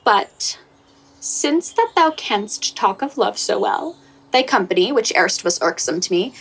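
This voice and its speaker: A woman's voice: mid-range voice, sing-song, unhurried, gravelly, fairly bright tone, slightly rough, exaggerated voice, poor audio. A young woman playing a proud, disdainful young shepherdess in a Shakespearean radio play, haughty and petulant. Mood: haughty, condescending. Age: young adult.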